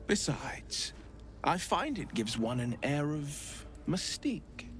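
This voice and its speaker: A man's voice: on the high side, very animated, slow, slight background noise. A man playing a gallant, roguish adventurer in a video game, talking with eloquent, theatrical flourish. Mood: jovial, reassuring.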